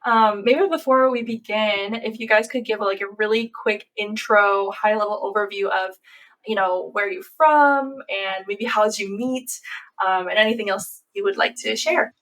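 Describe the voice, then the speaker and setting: A woman's voice: mid-range voice, steady tone, moderate pace, fairly bright tone. Friendly woman podcast host. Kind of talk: casual podcast conversation.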